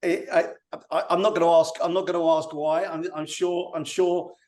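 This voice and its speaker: Man, somewhat high pitch, online panel host, casual, halting, repeated words. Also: flat delivery, darkish tone. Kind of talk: casual webinar hosting. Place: online video call.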